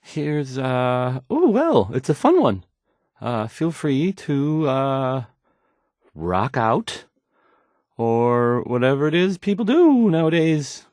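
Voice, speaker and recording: A man's voice: mid-range voice, very animated, slow, darkish tone, no room sound, studio quality. A man acting a shy, nervous young radio DJ in a video game, stammering, hesitant and unsure of himself. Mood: awkward. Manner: stammering, hesitant, self-doubting, trailing off. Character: painfully shy, nervous, awkward male radio DJ.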